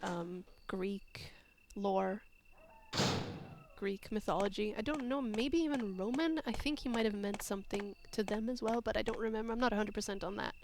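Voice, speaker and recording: A woman's voice: medium pitch, moderate pace, noisy background. A young Swedish-born woman with an American accent, chatting casually while streaming a game. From Sweden. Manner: chatty, laughing, explaining trivia. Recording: music playing behind.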